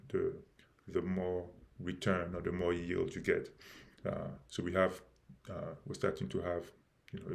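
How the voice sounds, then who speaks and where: A man hosting a live stream about his project, speaking haltingly with many fillers and restarts, and losing his voice a little. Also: deep voice, vocal fry, a little mellow. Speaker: crypto project host.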